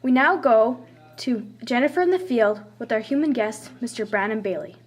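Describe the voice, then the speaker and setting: A woman acts as a courteous news host in a puppet show. Her high voice swings animatedly through formal, recited lines, with clear background noise. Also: lively intonation, normal speed. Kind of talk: scripted mock newscast. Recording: noisy amateur room recording.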